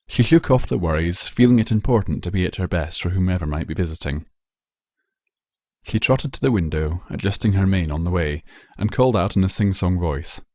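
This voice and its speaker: Animated man narrating a story. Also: deep voice, dry recording, clean studio sound. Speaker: fan fiction reader.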